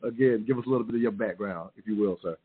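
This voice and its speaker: A man's voice: fairly low pitch, racing, husky. A man hosting a live call-in radio talk show, courteous and polite, often saying "if you will". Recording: phone-like narrow audio.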